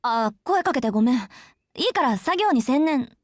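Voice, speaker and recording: An actress playing a strict teenage student council president in a visual novel game, curt, commanding and matter-of-fact. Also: female voice, high voice, lively intonation, quick pace, dry recording, studio quality. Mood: brisk, commanding.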